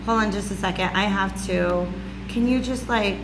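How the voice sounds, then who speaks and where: Loud raspy woman teaching, steady hum behind. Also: medium pitch, moderate pace. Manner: chatty, says "okay?" and "all right?" often. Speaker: spiritual channel host. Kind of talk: casual teaching monologue. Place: warm room at home with a fan running. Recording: home recording with clear hum.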